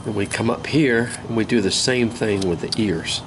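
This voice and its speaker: An older man with a hoarse voice from a cold, casually talking through a woodcarving demonstration in an easygoing, folksy way. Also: lowish voice. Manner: easygoing, folksy, unhurried, with many pauses. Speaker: woodcarving instructor. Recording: steady outdoor ambient noise.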